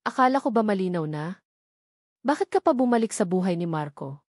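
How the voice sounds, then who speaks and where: A woman's voice: medium pitch, animated, a little fast, a little mellow, no echo, studio quality, natural voice. A Filipino woman storyteller voicing a bitter, jealous wife, angry and accusing, with a scornful, confrontational manner. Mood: furious.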